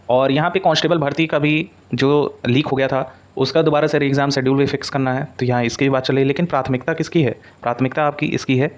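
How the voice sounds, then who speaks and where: A man giving an informal explanatory update as a coaching presenter, mixing in English words and repeating points for emphasis. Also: medium pitch. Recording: dull, lo-fi audio.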